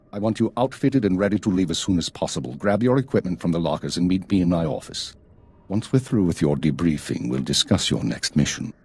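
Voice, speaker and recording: An actor playing a stern, weary intelligence chief in a video game, formal, clipped and measured. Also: male voice, sits low, a little mellow, husky, full character voice. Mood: curt, formal. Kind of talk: video game cutscene acting.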